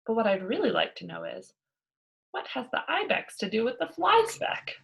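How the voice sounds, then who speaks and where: A woman voicing a cheeky, cocksure young girl from a children's storybook, loud, emphatic and argumentative. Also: on the high side, sing-song, moderate pace, creaky, full character voice. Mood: doubtful, stubborn. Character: cheeky, boisterous young girl, cocksure tall-tale teller. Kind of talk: children's storybook read-aloud.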